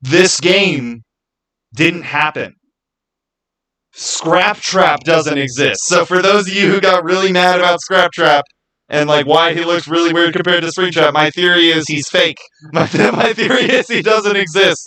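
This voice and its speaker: Brief: male streamer, slightly high voice, casual live talk on game lore, emphatic and repetitive. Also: a little slow, pushed and bright, poor audio.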